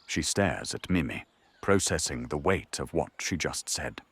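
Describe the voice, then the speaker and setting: A man with a gravelly, husky voice giving dramatic scripted narration for an audio drama. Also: rumbling low, expressive, measured pace, fairly bright tone, dry recording.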